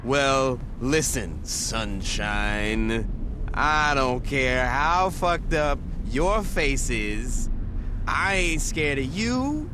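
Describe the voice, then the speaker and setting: Cocky slow-drawling gang leader. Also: male voice, medium pitch, animated, background noise. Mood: mocking, defiant. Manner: brash, mocking, swaggering. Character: cocky, foul-mouthed, fearless street gang leader. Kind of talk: video game cutscene acting.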